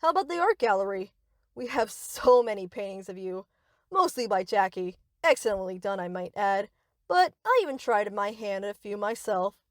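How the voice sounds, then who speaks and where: A woman's voice: mid-range voice, big pitch swings, normal speed, no room sound, studio quality, lightly acted. A woman playing a young yandere captor in a scripted roleplay, speaking to the listener with cutesy, rambling, possessive affection. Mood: adoring, excited. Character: sweet, obsessive yandere best friend, young woman captor.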